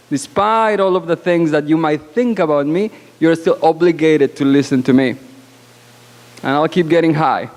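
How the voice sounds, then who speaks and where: A woman born abroad speaks as a panelist at a conference, with a loud voice and a frank, assertive, deliberate delivery broken by pauses, into a microphone in a banquet hall. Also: deep voice. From born outside Canada. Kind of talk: conference speech. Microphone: microphone at podium. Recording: long hall reverb.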